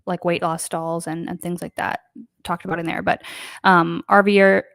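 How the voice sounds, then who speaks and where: A woman's voice: on the low side, level tone, moderate pace, vocal fry, fairly bright tone, dry recording, studio quality. A woman hosting a casual live online show, chatty and rambling with many fillers. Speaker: host. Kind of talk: casual livestream chat.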